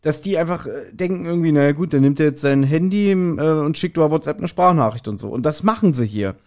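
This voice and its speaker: A man's voice: a little high, fast, darkish tone. A man with speech co-hosts a podcast, explaining his points casually and colloquially and checking for agreement. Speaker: podcast co-host.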